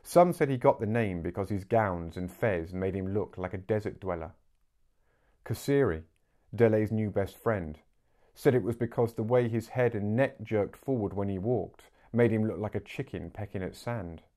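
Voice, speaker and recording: A man in his forties reading a story aloud as an expressive audiobook narrator. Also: on the low side, moderate pace. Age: 40s. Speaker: book narrator. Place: small living room, indoors.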